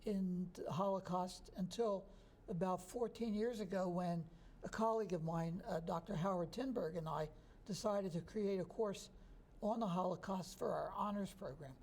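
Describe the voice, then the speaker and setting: A man in his sixties from New Jersey, a history professor answering interview questions in a relaxed, thoughtful way. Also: sits high, normal speed. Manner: relaxed, thoughtful, chuckles easily.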